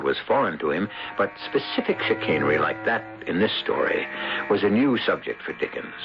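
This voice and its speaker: A man narrating as host of a radio mystery, in a dramatic, deliberate and formal scripted style. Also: low-pitched. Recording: dull old radio broadcast with music. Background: music.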